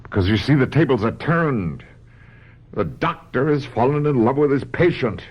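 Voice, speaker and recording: An actor playing a grave, patient, conflicted psychoanalyst in a radio drama, explaining himself in a measured, hesitant way. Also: male voice, mid-range voice, expressive, husky. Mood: troubled, resigned. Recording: muffled lo-fi radio drama, faint noise.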